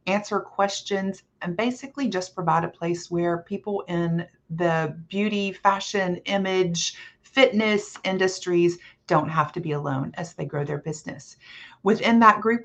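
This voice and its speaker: A middle-aged woman hosting a live online panel as a coach, speaking in a friendly, measured way with some ums, over a video call. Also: fairly low pitch. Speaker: host and coach.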